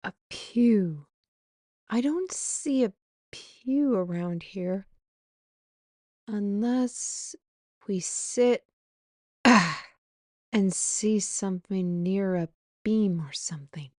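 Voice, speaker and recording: A wry, worldly female mage acted by a woman muses aloud at an unhurried pace. The fantasy roleplay delivery is theatrical and chatty, recorded close and dry. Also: medium pitch, animated, darkish tone, no room sound. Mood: puzzled. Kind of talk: fantasy audio roleplay acting.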